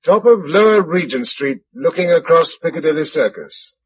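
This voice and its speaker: A man acts a survivor and provider in a radio play, steady and wryly understated. His voice is a touch high and moves around a lot in pitch. The recording is dull, with a faint bed of noise. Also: moderate pace, natural voice. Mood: sombre, reflective. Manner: dry, wry understatement. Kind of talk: British radio drama acting. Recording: muffled, noisy radio drama recording.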